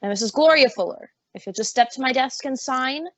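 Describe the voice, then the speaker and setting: A woman's voice: sits high, fairly quick, no room sound. A woman playing a stern, stuffy judge in old-time radio comedy, formal and officious in delivery.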